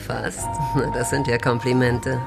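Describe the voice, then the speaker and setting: A jaded, guarded woman of the red-light street in a radio drama. Her voice is deep for a woman, with a crackly fry. She talks in a languid, blunt way while music plays clearly behind her. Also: little pitch movement, unhurried, full character voice. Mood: teasing. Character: world-weary, wary, teasing female street prostitute. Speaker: actor. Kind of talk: naturalistic German radio drama acting.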